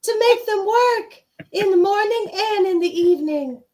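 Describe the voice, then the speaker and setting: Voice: very high voice, unhurried, darkish tone. A performer voicing a shy young alien schoolchild reciting a lesson in an amateur radio play. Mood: earnest, reciting.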